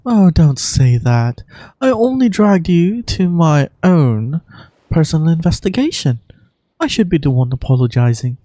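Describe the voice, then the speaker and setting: A woman voicing a calm, courteous and firm female village guardian in game dialogue, speaking in a composed, measured way. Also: sits low, dry recording, full character voice. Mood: warm, apologetic. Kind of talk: fan voice-over of video game dialogue.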